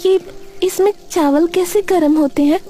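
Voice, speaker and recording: Woman as a shy, high-voiced young bride. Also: fairly even intonation, moderate pace, over music. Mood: hesitant. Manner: hesitant, deferential. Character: shy, meek, eager-to-please young bride from poor family. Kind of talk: cartoon character acting.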